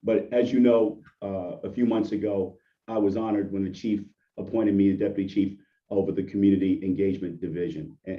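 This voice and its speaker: Hoarse deep-voiced man on a distant call. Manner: earnest, appreciative, restarts often. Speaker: deputy police chief. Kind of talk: presentation on a video call. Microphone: video call. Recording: distant muffled video call audio.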